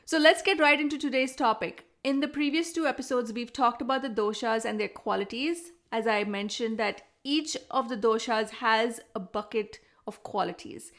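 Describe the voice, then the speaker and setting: High-pitched woman hosting a podcast. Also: moderate pace, hardly any pauses, fairly bright tone. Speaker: podcast host, Ayurvedic practitioner. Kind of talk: podcast introduction and interview.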